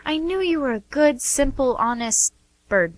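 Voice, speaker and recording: A woman's voice: high-pitched, expressive, a little slow, poor audio. A woman playing a coy, quirky, playful young woman in an amateur radio comedy.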